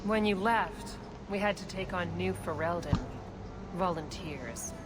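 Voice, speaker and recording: A woman's voice: on the low side, a little slow, over music, natural voice. Wry female smuggler boss played by an actress. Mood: businesslike. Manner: sardonic, dry. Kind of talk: video game dialogue acting.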